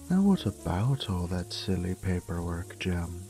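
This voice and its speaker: A man's voice: sits low, very animated, a little slow, mellow. A man playing a charming reformed thief and flirtatious, tender fiancé in an intimate romantic audio roleplay, murmuring and dropping into whispers. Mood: flirty, teasing.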